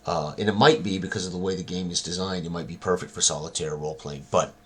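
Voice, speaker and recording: A man with an extremely low, husky voice talks informally as a game designer presenting his work. His delivery is halting and rambling, with long hesitations between phrases. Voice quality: husky, very deep. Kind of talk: informal rambling product talk.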